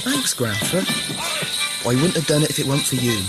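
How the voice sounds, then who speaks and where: A man's voice: mid-range voice, sing-song, fairly quick, close to an everyday voice, low-quality recording. Guy acting young dying soldier lad from england, weary n defiant, voice swings up and down, radio drama, music under. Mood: protesting.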